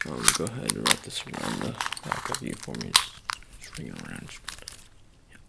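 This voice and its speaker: A man's voice: extremely low pitch, huge pitch swings, brisk, gravelly, heavy background noise. A man doing an informal ASMR roleplay as an agent, improvising hesitantly with playful slang in a one-sided personal chat.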